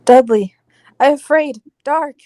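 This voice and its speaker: A woman playing a timid young woman of a childlike people in a radio play, speaking halting broken English in very short phrases. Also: high-pitched, darkish tone, no echo, studio quality. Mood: afraid. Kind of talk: 1940s radio play acting.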